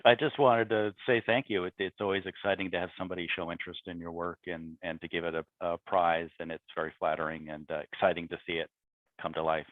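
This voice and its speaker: Low-voiced middle-aged man, phone-like sound. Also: flat delivery, quick pace, dry recording. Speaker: playwright. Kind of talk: casual explanatory talk.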